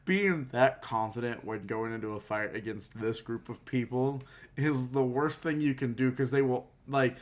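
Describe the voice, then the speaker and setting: A man co-hosting a relaxed fan podcast, his pitch sitting right in the ordinary middle. He rambles through his points with plenty of fillers and breaks into easy laughter. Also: moderate pace. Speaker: podcast co-host. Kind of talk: casual fan podcast chat.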